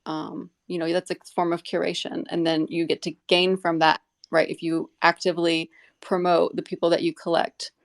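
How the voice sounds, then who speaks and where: Woman with a lowish creaky voice, chatting earnestly, kinda rambly. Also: little pitch movement, normal speed. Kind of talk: casual live audio discussion.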